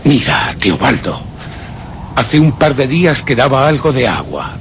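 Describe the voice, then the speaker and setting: An actor playing a cold, vengeful murderer who narrates a radio horror drama in deliberate, literary Castilian Spanish. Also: male voice, mid-range voice, very animated, a bit bright, a little hiss or hum. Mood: menacing. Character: cold, vengeful male murderer recounting his crime.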